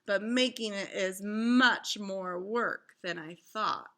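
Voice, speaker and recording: A woman voicing a polite, earnest young storybook boy who talks deliberately. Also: medium pitch, a bit bright.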